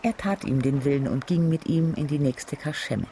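Gravelly, higher-pitched male narrator. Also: moderate pace. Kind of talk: literary radio drama narration.

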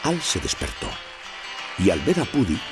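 A man narrating a children's storybook with expressive storytelling emphasis. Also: low-pitched, normal speed. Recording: music playing under the voice.

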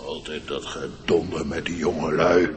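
An actor voicing a grumpy, self-important old cockchafer beetle with a pompous, scolding manner in a radio drama. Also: male voice, very deep voice, fairly even intonation, normal speed, big-room sound, crowd noise. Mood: indignant.

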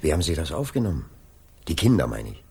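An actor playing a politely persistent police inspector in a radio play, questioning insistently with drawn-out, swooping phrases and a creaky voice. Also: male voice, a bass voice, sing-song, a little mellow, full character voice. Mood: needling. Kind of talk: 1980s German radio play acting.

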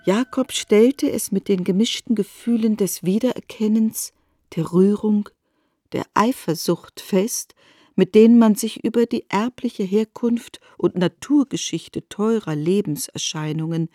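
Casting: female literary narrator, warm dark timbre, slightly low, dry recording. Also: a little slow, no echo.

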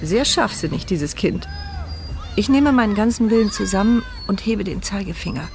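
A woman's voice: medium pitch, animated, normal speed, a little mellow, faint music behind, lightly acted. An actress voicing a paralysed, blind and mute woman in a radio drama, narrating her inner thoughts in a dry, sardonic, reflective way.

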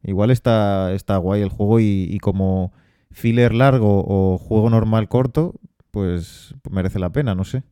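A man with a Spanish accent from Spain, co-hosting a casual board game podcast and talking things through in a relaxed way, with fillers along the way. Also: on the low side, measured pace, clean tone, no room sound, clean studio sound. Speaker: podcast co-host.

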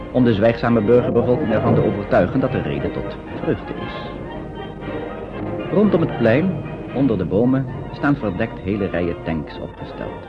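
A man narrating a radio play as a scripted storyteller, in the present tense. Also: lowish voice. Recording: dull old radio recording, music behind.

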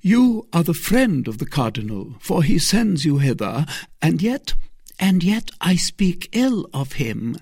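A man voicing a scheming noblewoman who sighs and feigns innocence in a high, husky voice. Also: very animated, measured pace, darkish tone, dry recording, studio quality, heavily acted. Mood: sly plaintive. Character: scheming noblewoman, sighing and sly. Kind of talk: audiobook character reading.

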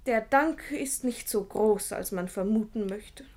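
An actress playing a naive young ward in a classic radio play, speaking verse with artless, plaintive frankness. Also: female voice, medium pitch, measured pace, darkish tone, natural voice. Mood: candid. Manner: innocent, plaintive, candid.

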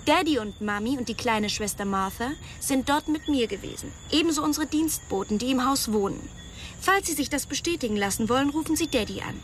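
A haughty young heiress. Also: female voice, high-pitched, lively intonation, brisk, a little hiss or hum. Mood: guarded. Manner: mocking, cool and teasing. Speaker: wealthy man's daughter being questioned. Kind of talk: radio drama acting.

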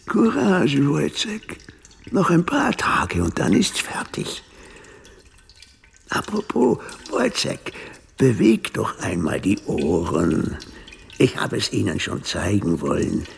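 A man's voice: mid-range voice, very animated, slow pace, gravelly, husky, heavily acted. A man playing an eccentric, coldly clinical doctor in a radio play, lecturing in clipped exclamations. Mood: demonstrative, lecturing. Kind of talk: theatrical German radio drama acting.

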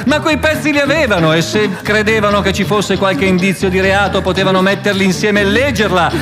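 A man narrating a scripted historical radio drama in Italian in a formal, dramatic style. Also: high-pitched. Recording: long hall reverb, music underneath.

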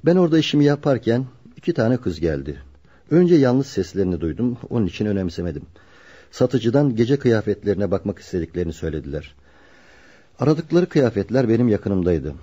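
A loyal carpenter in a radio play, with a dark, husky voice. He is serious and measured, and the recording sounds muffled. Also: fairly low pitch, big pitch swings. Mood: earnest, serious. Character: loyal, earnest carpenter protecting his childhood friend. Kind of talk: radio drama acting.

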